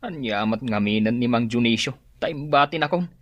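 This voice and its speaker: Radio drama role: nervous, stammering debtor, Ilocano accent, deep female register, very dry recording. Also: lively intonation, normal speed, no echo, lo-fi sound. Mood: sheepish, whining. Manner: hesitant, stammering, whining. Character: shamefaced, nervous debtor, grown child hiding from creditors.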